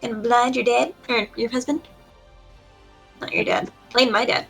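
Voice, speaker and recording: A woman's voice: a little high, fairly even intonation, normal speed, creaky, fairly bright tone, soft background music, low-quality recording. A woman joining a livestream with short, casual banter. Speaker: livestream participant.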